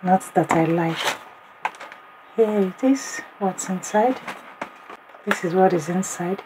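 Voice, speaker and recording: A hoarse woman vlogger unboxing birthday gifts in a casual chat, exclaiming often and talking straight to her viewers. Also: lowish voice, slow pace. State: hoarse, voice partly lost. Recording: noisy home recording.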